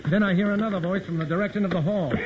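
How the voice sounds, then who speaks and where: A man's voice: high voice, rapid-fire, close to an everyday voice. An actor playing a grim undercover man in a 1940s radio drama, narrating in clipped, tough-talking first person. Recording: loud hiss on a dull old radio recording.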